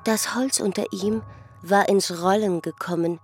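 A woman with speech narrating a literary radio drama in measured, short phrases. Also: medium pitch, steady tone, breathy edge, soft background music.

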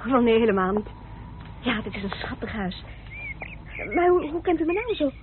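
An actress playing a polite, curious politician's wife in a radio play, with an expressive, questioning delivery. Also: female voice, fairly high pitch, normal speed. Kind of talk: Dutch radio drama acting. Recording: tinny old radio recording, faint noise.

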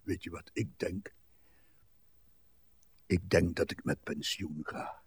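An actor playing a grumpy, pedantic old husband, bitter and weary, in a radio play. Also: male voice, deep voice, steady tone, slow pace, breathy edge, no echo, full character voice. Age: older. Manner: irritable, complaining, pedantic.

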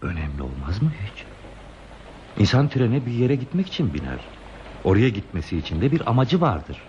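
Derin sesli, dalgın, yalnız tren tutkunu adam. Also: male voice, on the low side, very animated, darkish tone. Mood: curious, probing. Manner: musing, poetic, slow pauses in monologue. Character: lonely, talkative man haunting a trackside, dreamily devoted to trains. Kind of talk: radio theatre acting. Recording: dull, lo-fi radio play tape, hissy.

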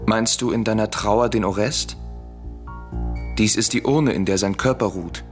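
An actor playing a courteous, composed stranger in a classical radio drama, speaking in a formal, measured manner. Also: male voice, fairly low pitch, soft background music. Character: courteous, composed male stranger bearing an urn.

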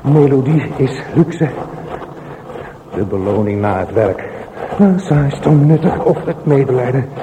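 An actor playing a solitary, obsessive aging man in a radio drama, talking to himself in halting, repetitive phrases. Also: medium pitch. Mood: self-absorbed musing. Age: older. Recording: roomy echo with faint noise.

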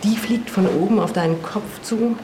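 An actress playing a blunt, reproachful sister, a surgeon who lectures bluntly in a radio play. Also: female voice, mid-range voice, moderate pace, background noise, natural voice. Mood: recounting. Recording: roomy, with music.

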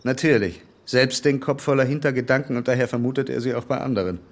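An actor playing an earnest, critical gentleman in a period radio play, speaking standard German with skeptical, muttered asides. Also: mid-range voice, quick pace, natural voice. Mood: dryly disapproving. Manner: skeptical, muttering interjections, moralizing. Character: earnest, upright gentleman, critical, dryly commenting on a letter. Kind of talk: period radio drama acting.

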